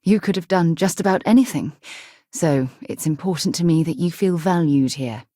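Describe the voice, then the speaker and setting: A woman's voice: low-pitched, moderate pace, dry recording, clean studio sound. An actress playing an earnest, principled woman leader of explorers in a video game, speaking formally and expressively. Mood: composed. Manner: articulate, formal, emotionally expressive. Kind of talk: video game dialogue acting.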